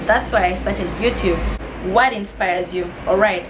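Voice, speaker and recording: A woman's voice: mid-range voice, measured pace, background noise. A woman of about twenty from East Africa, chatting in a friendly, slightly nervous way as she answers get-to-know-me questions. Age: 20s. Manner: friendly, slightly nervous, reading questions aloud with soft laughs. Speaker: vlogger. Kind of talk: casual Q&A vlog.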